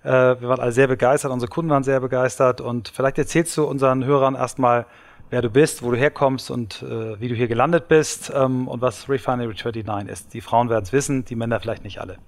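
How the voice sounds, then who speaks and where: A man's voice: medium pitch, fairly even intonation, fast talker. A man hosting an interview podcast, talking in a friendly, enthusiastic way. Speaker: podcast host.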